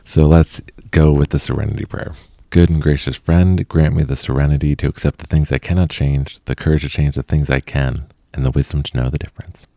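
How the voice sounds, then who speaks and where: A man's voice: little pitch movement, brisk, creaky, dark tone, no echo. A man hosting a podcast, friendly and casual, with many fillers as he talks to a remote guest. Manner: friendly, many fillers, rises in pitch when chatting. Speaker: podcast host. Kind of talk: casual interview and intro.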